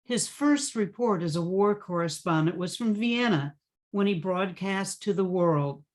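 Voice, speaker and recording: A woman's voice: mid-range voice, lively intonation, unhurried. An older woman giving a prepared personal reminiscence in plain narration. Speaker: class member sharing memories.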